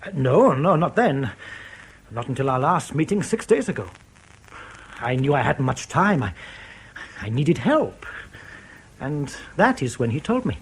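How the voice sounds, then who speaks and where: An actor playing an urbane, guarded German male defector in a radio drama, formal, wry and precise, with a husky voice. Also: medium pitch, sing-song, unhurried, pauses often, heavily acted, rough recording. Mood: guarded, explaining.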